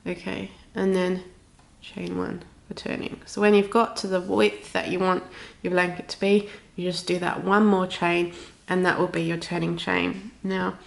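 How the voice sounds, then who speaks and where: A woman's voice: lowish voice, flat delivery, moderate pace, creaky. A woman with a cold and a snuffly nose, chatting informally through a step-by-step craft tutorial. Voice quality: snuffly, slightly stuffed-up nose. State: has a cold. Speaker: crochet tutorial host.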